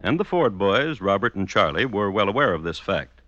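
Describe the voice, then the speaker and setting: A man with an American accent narrating an old-time radio drama in a deliberate, wry, mock-formal way. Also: lowish voice, big pitch swings, fairly bright tone, no echo, muffled recording, soft background music.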